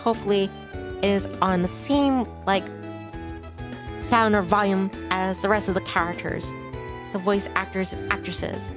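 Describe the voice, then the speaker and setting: A woman's voice: medium pitch, steady tone, measured pace, long pauses. A woman streamer chatting casually with her viewers during a game stream. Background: game music.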